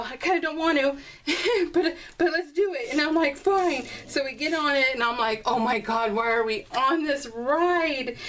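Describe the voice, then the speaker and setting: A middle-aged woman chatting casually and telling animated, giggly stories while she works on a scrapbooking project. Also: sits high. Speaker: scrapbooking vlogger. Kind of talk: casual storytelling while crafting.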